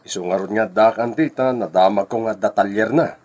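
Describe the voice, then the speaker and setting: A man's voice: on the low side, brisk, darkish tone, lightly acted. A man with a Filipino accent acting in a radio drama as a bashful, grateful young garage worker. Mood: earnest.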